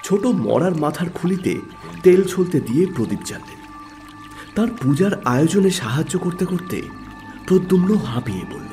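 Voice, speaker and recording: Expressive, mellow male storyteller. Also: fairly high pitch, moderate pace. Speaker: narrator and channel host. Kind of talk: scripted dramatic story narration. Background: background music.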